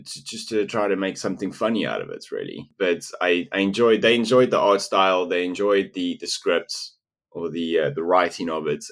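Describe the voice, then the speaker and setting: Very low-voiced hesitant man from South Africa. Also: a bit bright. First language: not English. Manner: hesitant, many restarts and fillers. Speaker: illustrator and animator guest. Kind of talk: casual interview.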